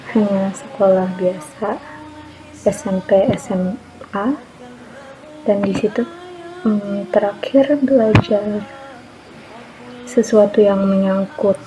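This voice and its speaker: A young woman student from South Tangerang speaks slowly and thoughtfully in a testimonial interview. Her tone is mellow and dark. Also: mid-range voice, slight background noise, poor audio. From South Tangerang, Indonesia. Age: young adult. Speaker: first-semester student.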